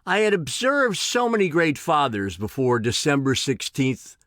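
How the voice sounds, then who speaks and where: A man's voice: on the high side, big pitch swings, measured pace, a bit bright, no echo, studio quality. A man in his sixties reading his own personal essay aloud. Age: 60s.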